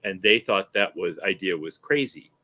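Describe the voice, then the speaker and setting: A man's voice: low-pitched, normal speed, bright, forceful tone. A middle-aged man talking casually as a guest filmmaker on a panel.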